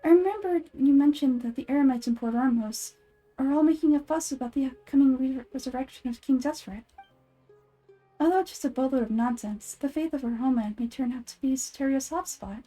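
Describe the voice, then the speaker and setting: Woman voicing a high, gentle young fantasy game girl. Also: brisk, faint music behind, poor audio. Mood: thoughtful, explaining. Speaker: amateur voice actor. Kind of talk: fan voice-over of fantasy game dialogue.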